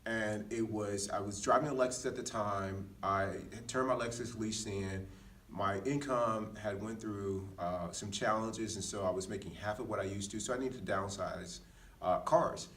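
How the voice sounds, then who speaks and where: A man's voice: lowish voice, normal speed, a bit bright, recorded from a distance. A middle-aged American man telling personal stories casually in a candid, self-deprecating way, often saying "man" and "dude.". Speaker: podcast speaker, former Marine.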